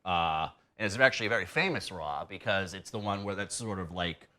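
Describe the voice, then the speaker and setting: A man's voice: low-pitched, expressive, fairly quick, sharp tone. A man in his thirties from New Hampshire, a guest wrestler chatting in a TV studio and rambling through many fillers. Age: 30s. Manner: rambling, heavy on "you know" and "like" fillers. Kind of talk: casual interview chat. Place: TV studio set.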